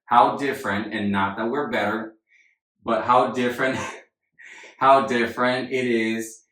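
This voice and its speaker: A man's voice: medium pitch, steady tone, a little slow, fairly bright tone. A man from Puerto Rico, raised in Florida, co-hosting a podcast as a family therapist and explaining ideas with frequent "right?" checks. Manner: explanatory, many "right?" tags. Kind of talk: casual podcast chat. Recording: echoey room.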